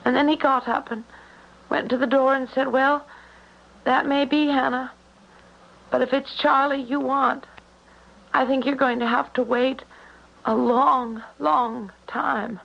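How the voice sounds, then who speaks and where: A woman's voice: high-pitched, fairly even intonation, measured pace, gravelly, pauses often, exaggerated voice. An actress playing a troubled young woman recounting events in a 1970s radio drama. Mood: distressed recounting. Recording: muffled 1970s radio recording.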